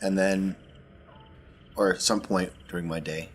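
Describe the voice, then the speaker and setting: A man playing a tabletop roleplaying game, talking through plans casually in a halting, deliberate way. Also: sits low, steady tone, slight background noise.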